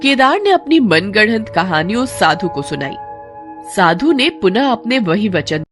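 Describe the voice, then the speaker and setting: Lively woman storyteller over music. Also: medium pitch, unhurried. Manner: expressive, storybook telling. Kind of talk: scripted narration of a kids story. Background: background music.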